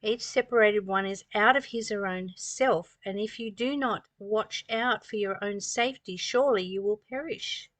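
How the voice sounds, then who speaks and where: A woman's voice: medium pitch, normal speed, hardly any pauses, fairly bright tone, no echo. A woman in her sixties giving an earnest, preaching spiritual talk, reading passages and explaining them with rhetorical questions and repetition. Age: 60s. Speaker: spiritual teacher reading and commenting on a study text.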